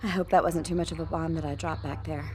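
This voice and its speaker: A woman's voice: sits low, fairly even intonation, fast talker, darkish tone, a little hiss or hum, natural voice. An actress playing an outwardly kind, motherly wife in an audio drama, soothing and confiding with a nervous edge. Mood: reassuring. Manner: soothing, confiding, terms of endearment. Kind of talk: naturalistic audio fiction acting.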